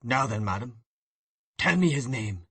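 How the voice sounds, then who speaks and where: A man's voice: mid-range voice, expressive, measured pace, a bit bright, slightly rough, exaggerated voice. A man reading an audiobook voices an enraged, grief-stricken husband in a hard, accusing tone. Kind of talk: audiobook reading of a classic novel.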